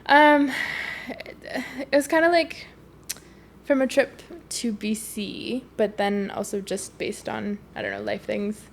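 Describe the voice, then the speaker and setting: A woman's voice: medium pitch, steady tone, slow, creaky, a little hiss or hum. A young woman from Canada chatting casually as a podcast guest, rambling with lots of fillers and laughs. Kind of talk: casual conversation.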